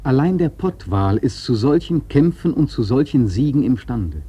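Casting: reflective sea-novel storyteller for radio drama, mellow and husky, earnest, measured rhetoric. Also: male voice, mid-range voice, very animated. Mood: earnest, explaining. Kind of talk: literary narration in a radio play.

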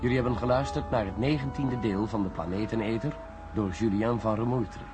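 Guy reading out radio play credits, lowish voice, music playing behind. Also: a little fast. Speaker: announcer. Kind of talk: scripted radio drama credits.

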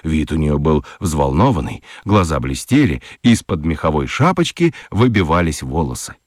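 A man narrating a children's radio play with expressive storytelling. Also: extremely low pitch, normal speed, no room sound, studio quality.

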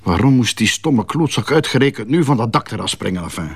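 A man's voice: medium pitch, expressive, fairly quick, husky. An actor playing a coarse, sardonic businessman in a radio drama.

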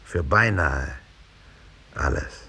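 An actor playing an unrepentant Nazi perpetrator in a radio drama, speaking in a husky, measured, deliberate monologue with a needling edge. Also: male voice, a bass voice, expressive, sharp tone, exaggerated voice. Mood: smug, needling. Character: unrepentant German Nazi perpetrator, coldly confessing, smugly rational.

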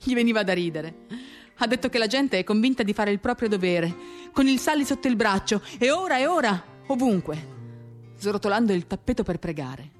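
A woman giving a measured, intimate dramatic reading of first-person literary narration in short, paused phrases, accompanied by acoustic guitar. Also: mid-range voice, lively intonation. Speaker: reader of a novel.

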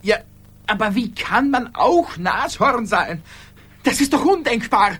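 A man's voice: very high voice, big pitch swings, a little slow, a bit bright, husky, heavily acted. An actor in a radio drama playing an anxious, passionate ordinary man with an agitated, insistent, pleading manner. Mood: agitated, indignant.

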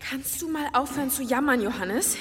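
Fed up sarcastic girl, high voice, reverby cave, radio play. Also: animated, a little fast. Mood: impatient. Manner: scolding, sarcastic. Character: exasperated, dry-witted young woman, impatient companion. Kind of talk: dramatic radio play acting. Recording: reverberant cave effect, loud water noise.

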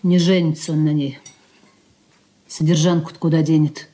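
An actress in a Soviet radio drama playing a sharp-tongued, calculating young married woman, dry and needling. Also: low-pitched, little pitch movement, normal speed, natural voice. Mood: gossipy, scornful.

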